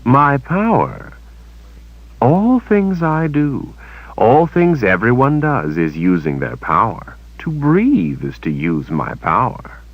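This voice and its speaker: A defiant young woman throws out sharp, lively accusations, as a male narrator lifts his voice for her. Her lines climb high and animated. The recording is dry and a little dull. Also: takes it slowly, no room sound, heavily acted. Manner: indignant, rising.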